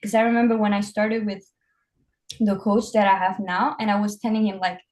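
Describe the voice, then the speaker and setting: Woman, medium pitch, casual chat, animated storytelling, guest athlete. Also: brisk. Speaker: bodybuilding competitor, guest.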